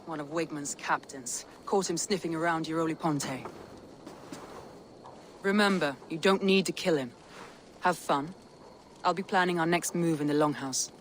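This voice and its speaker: An actress as a stern female warrior chieftain from a historical video game. She speaks gravely and deliberately, carrying the weight of betrayal, over faint background noise. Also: low-pitched, lightly acted. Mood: calm, confiding. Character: commanding Danish woman clan leader, stern and wounded by betrayal. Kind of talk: cinematic game voice acting.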